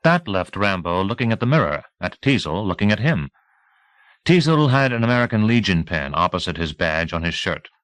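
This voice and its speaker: A man reading a novel as an audiobook narrator, in measured, clearly articulated scripted storytelling. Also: low voice, very animated, fairly bright tone, dry recording.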